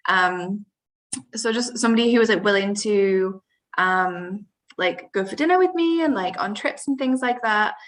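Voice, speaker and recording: A woman's voice: medium pitch, moderate pace. A woman in her twenties from the UK, speaking casually on a panel with fillers. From United Kingdom. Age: 20s. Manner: relaxed, fillers "um" and "like". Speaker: au pair. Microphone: video call.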